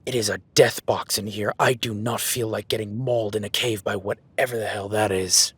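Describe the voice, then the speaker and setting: A man playing a gruff cowboy hunter in an audio roleplay, whispering while stalking and swearing and giving orders in a crisis. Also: lowish voice, steady tone, normal speed, dry recording. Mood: urgent, alarmed. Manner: swearing, commanding, urgent. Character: gruff rugged cowboy hunter tracking a beast. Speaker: ranch hand tracking a beast.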